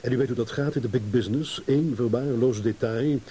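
An actor playing a suave, evasive ladies' man in a radio play, speaking with irony and deliberate pauses. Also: medium pitch, dark tone, close to an everyday voice. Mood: self-important. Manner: smooth, ironic, measured with deliberate pauses. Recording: hissy old radio play recording.

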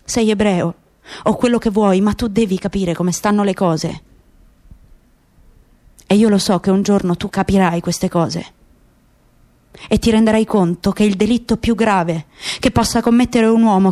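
A woman's voice: mid-range voice, a little mellow, natural voice. Woman voicing solemn, proud, disillusioned father. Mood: solemn conviction.

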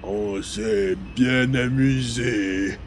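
A dim-witted male henchman voiced by an actor in a science-fiction radio play, groggy under a truth drug. His speech is extremely slow, dazed and halting, with faint ambience behind. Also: medium pitch, a little hiss or hum. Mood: dazed, compliant. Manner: dazed, flat, halting answers. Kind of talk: science-fiction audio drama acting.

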